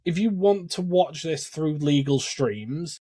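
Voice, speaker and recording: A man's voice: on the high side, expressive, slow, warm tone, breathy edge, no echo, studio quality. A man in casual film discussion as a podcast co-host, speaking in a blunt, opinionated way with some swearing.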